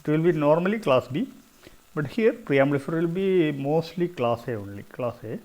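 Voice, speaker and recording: A man's voice: on the high side, lively intonation, moderate pace, a little mellow. A middle-aged man with a South Indian Malayali accent explains slides as a lecturer, often repeating phrases for emphasis. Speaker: engineering lecturer.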